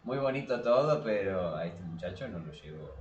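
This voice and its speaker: A man's voice: low-pitched, expressive, moderate pace, highs cut off. A young man with an Argentine accent co-hosting a podcast in casual, jokey chat, repeating himself for emphasis. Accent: Argentine Spanish. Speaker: podcast co-host. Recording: echoey, distant mic, crowd noise behind.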